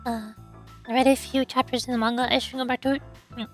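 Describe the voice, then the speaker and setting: A young woman streaming a game in casual, rambling chat, her voice hoarse and fading. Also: fairly high pitch, level tone, quick pace, soft background music. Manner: rambling, self-pitying banter with fillers. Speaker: VTuber streamer.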